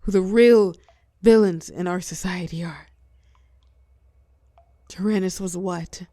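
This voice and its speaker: A woman playing a weary telepath supervillain and fallen hero, tender yet menacing, in an intimate audio roleplay with dramatic pauses. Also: on the low side, dark tone, no echo. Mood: wry, defensive. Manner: soothing pet names, wry bitterness, dramatic pauses, drops into whispers.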